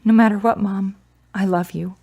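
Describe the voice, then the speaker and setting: Woman acting a grieving, gently wry woman. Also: mid-range voice, steady tone, normal speed, lightly acted. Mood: sad, reflective. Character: Charity, grieving, kind, reserved adult daughter. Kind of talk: serial radio drama acting.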